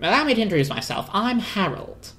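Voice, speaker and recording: Narrator putting on a high, chirpy male squirrel. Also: very animated, fairly quick, a bit bright. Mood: genial. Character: chatty, cocky male squirrel, brisk and sociable.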